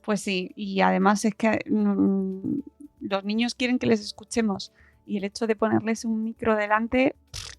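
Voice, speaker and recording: A woman's voice: mid-range voice, flat delivery, unhurried, vocal fry, dry recording, faint music behind. A middle-aged woman with a Spain accent chatting casually and informally as a podcast host. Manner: chatty, informal, laughs often. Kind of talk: casual chat.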